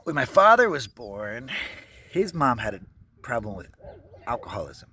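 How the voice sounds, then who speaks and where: A man in his thirties talks as he walks around outside, pitched in an ordinary middle range. His storytelling is candid and loose, full of asides and self-corrections. A faint hiss of noise sits under the voice. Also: sing-song, normal speed, sharp tone. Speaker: travel vlogger. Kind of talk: casual walking vlog. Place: outdoors at a skate park. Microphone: handheld camera while walking.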